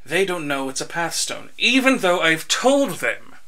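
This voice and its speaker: A man's voice: on the high side, big pitch swings, unhurried, sharp tone, full character voice. An actor playing an earnest, easily exasperated young man who plans a magical heist in an audio drama. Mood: earnest briefing.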